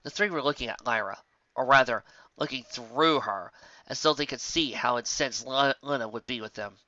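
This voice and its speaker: A man reading a fantasy story aloud as an expressive narrator. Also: mid-range voice, moderate pace, no echo, dull audio. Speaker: fan fiction reader.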